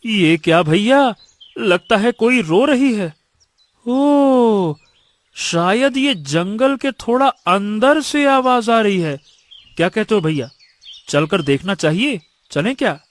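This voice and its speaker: Cartoon younger brother, male actor: eager, questioning, deferential, high and slow-paced. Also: lively intonation, no room sound. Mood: curious. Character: curious, deferential younger brother, poor village lad. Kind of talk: Hindi cartoon story dialogue.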